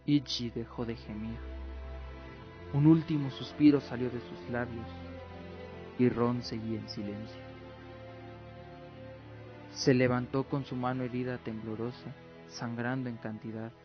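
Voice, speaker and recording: A man with a mid-pitched voice narrates a radio drama. His delivery is solemn and theatrical. The audio is slightly muffled, with music underneath. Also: fairly even intonation, a little slow, pauses often.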